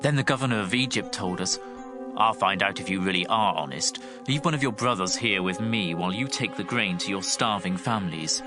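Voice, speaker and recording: A man's voice: fairly low pitch, brisk, close to an everyday voice. A man playing a humble, honest brother from ancient Canaan in an audio Bible drama, respectful and earnest. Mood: serious, reporting. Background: music.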